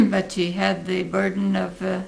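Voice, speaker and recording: Very creaky, slow-spoken elderly American woman. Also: mid-range voice. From Oregon, United States. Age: 80s. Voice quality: gravelly, creaky. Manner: halting, deliberate, thoughtful pauses. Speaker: interviewee recalling her life. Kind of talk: reflective oral history interview.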